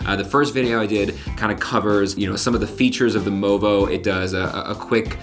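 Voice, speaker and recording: Deep-voiced chatty American man vlogging. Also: fairly even intonation, fairly quick, over music. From Connecticut, USA. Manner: chatty, explanatory, with filler restarts. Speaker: vlogger and magician. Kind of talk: casual vlog talk to camera. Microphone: camera-mounted shotgun mic.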